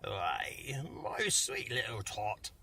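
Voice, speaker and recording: A man's voice: on the low side, sing-song, very slow, bright, forceful tone, breathy edge, voice pushed down, exaggerated voice. A man voicing a sly, gleeful, mean Grinch in a storybook reading, with a put-on British-Australian accent. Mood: sly false sweetness.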